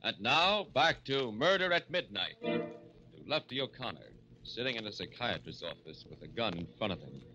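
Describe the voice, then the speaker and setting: An actor playing a grave, portentous horror-show narrator in theatrical 1940s radio style. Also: male voice, fairly low pitch, animated, moderate pace, sharp tone. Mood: ominous. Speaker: announcer, host. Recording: dull old radio recording, dramatic music.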